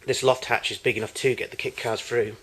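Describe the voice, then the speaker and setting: A man's voice: medium pitch, flat delivery, a little fast, a bit bright, slight background noise. A man giving an unscripted tour of his collection in a home video, recorded in a garage on amateur camcorder audio.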